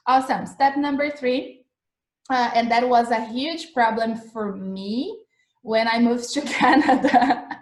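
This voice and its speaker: A woman's voice: a little high, reverberant. Chatty Brazilian woman teaching English. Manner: chatty and encouraging, with frequent "okay?" checks. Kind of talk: livestreamed English lesson. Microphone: video call recording.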